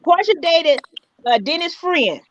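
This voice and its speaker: A woman's voice: extremely high pitch, very animated, unhurried, slight background noise. A woman gossiping bluntly on a video call, repeating herself and using slang. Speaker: co-host on a call-in video chat. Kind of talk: casual gossip chat. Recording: slightly dull video call audio.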